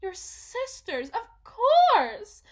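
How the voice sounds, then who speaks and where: A woman playing a delusional, possessive mother in a dramatic ASMR roleplay, halting and repeating herself theatrically, shifting between hushed and intense. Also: very high voice, huge pitch swings, heavily acted. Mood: fretful, insistent. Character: delusional, possessive mother smothering her supposed lost child.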